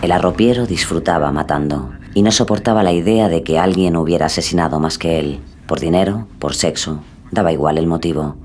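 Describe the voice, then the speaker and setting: A man giving dramatic scripted true-crime narration in an ominous, deliberate manner. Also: deep voice, a little mellow, slight background noise. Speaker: podcast narrator.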